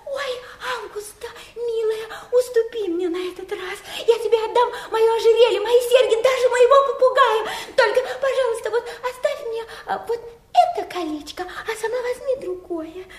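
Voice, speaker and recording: A woman's voice: very high voice, moderate pace, few pauses. An actress playing a fretful, tender-hearted fairy-tale royal lady, exclaiming and pleading effusively. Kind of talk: theatrical fairy-tale radio play. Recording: roomy echo.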